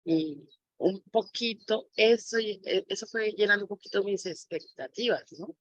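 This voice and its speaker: A woman's voice: medium pitch, moderate pace, no room sound, poor audio. A woman speaking reflectively as an interviewee, sharing personal experience as a mother. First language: Spanish.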